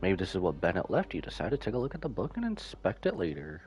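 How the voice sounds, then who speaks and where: A man's voice: sits low, wildly swooping pitch, fast talker, a little mellow, a little hiss or hum. A man giving casual, slangy live commentary as he streams a video game.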